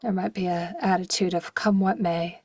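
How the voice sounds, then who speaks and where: A woman leading a guided meditation slowly and gently in short, soft phrases, with a breathy voice that at times nears a whisper. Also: lowish voice, steady tone, dry recording, clean studio sound. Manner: slow, gentle, with long pauses and short phrases.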